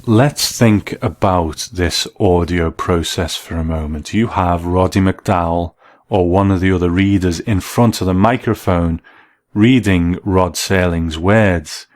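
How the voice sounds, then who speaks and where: A man hosts an interview in a conversational style. His voice is on the low side, and the sound is close and dry with no room around it. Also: unhurried, darkish tone, no echo. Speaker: interviewer, host.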